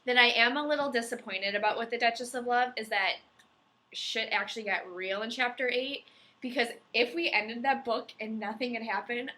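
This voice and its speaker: A woman's voice: fairly high pitch, moderate pace, pushed and bright. A woman co-hosting a podcast, chatty and giggly in casual talk. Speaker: podcast co-host. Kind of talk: casual chat.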